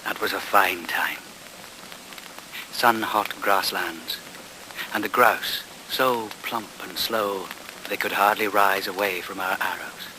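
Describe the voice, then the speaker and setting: An actor, a man, playing a solemn hunter-shaman in a radio play, with a reverent, declamatory, incantatory delivery. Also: low voice. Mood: brooding. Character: solemn male shaman of a reindeer-hunting people. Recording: noisy old radio play recording.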